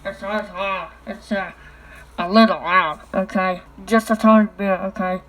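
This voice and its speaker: A woman's voice: mid-range voice, fairly even intonation, measured pace, fairly bright tone, low-quality recording. A woman vlogging casually at home into her phone, talking through a cardboard tube and ending nearly every sentence with a questioning "okay?". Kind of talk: rambling casual vlog talk. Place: indoors at home.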